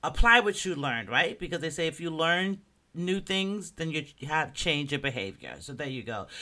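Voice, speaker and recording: A man's voice: fairly high pitch, sharp tone. A man hosting a solo podcast, talking in a loose, conversational way and often checking in with his listeners. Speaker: podcast host. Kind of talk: casual solo commentary.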